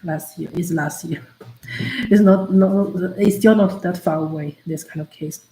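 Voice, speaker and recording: A woman whose first language is Chinese, chatting on a study livestream in a halting way, with many fillers and restarts. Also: lowish voice, dark tone. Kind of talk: casual study-stream chat. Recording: echoey room with faint ambient noise.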